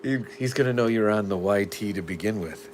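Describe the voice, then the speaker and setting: A man's voice: lowish voice, a little fast. A man co-hosting a live stream, chatting casually, joking and reading the chat, sometimes dropping to a whisper. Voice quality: animated, jokey, drops to a whisper at times. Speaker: livestream co-host. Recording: faint background noise.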